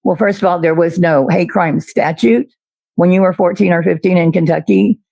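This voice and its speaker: A speaker in a played clip lays out an accusation step by step, measured and pointed. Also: mid-range voice, steady tone, creaky, darkish tone, no room sound. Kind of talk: argumentative commentary.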